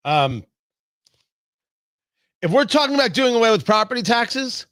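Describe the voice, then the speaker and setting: A man's voice: very high voice, very animated, measured pace, a bit bright, no echo, studio quality. A man hosting a live talk show, chatting casually with viewers in a playful, rambling way full of asides and mock indignation.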